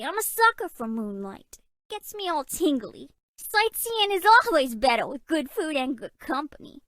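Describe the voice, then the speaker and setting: Sassy young woman, dating game sweetheart, swooping high voice, slangy tough teasing, dry studio sound. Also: fairly bright tone, no echo, exaggerated voice. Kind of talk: video game character voice lines.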